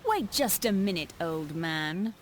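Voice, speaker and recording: A woman's voice: lowish voice, expressive, takes it slowly, a little hiss or hum. An actress playing a cocky, sly female pirate captain in a game cutscene, teasing and tough. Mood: indignant. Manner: teasing, bold. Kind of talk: video game cutscene acting.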